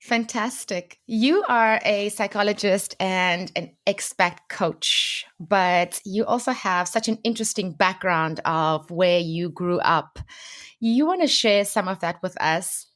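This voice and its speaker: A woman's voice: mid-range voice, slow pace, a bit bright. A woman hosting a podcast, reading a guest introduction and then interviewing her guest in an encouraging way. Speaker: podcast host. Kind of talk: casual interview.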